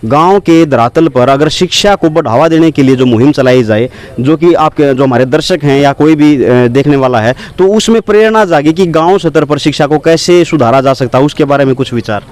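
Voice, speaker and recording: A man's voice: on the high side, fairly quick. A man interviewing a village head, asking long questions with many hesitations. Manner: long hesitant questions with fillers, frequent soft hums.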